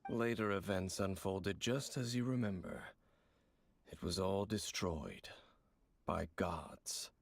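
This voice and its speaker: An actor playing a composed, secretive, knowing man in an anime-style video game, speaking in a measured, solemn, formal way. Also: low voice, vocal fry, a little hiss or hum, exaggerated voice. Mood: grave, proud.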